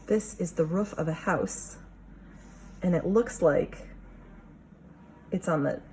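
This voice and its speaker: A woman with a fairly low voice reads to young children as their teacher. She takes her time and is expressive, stopping to ask questions and explain. There is noticeable background noise in the recording. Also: slow, rough recording. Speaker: kindergarten teacher reading aloud. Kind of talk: children's picture book read-aloud with teacher asides.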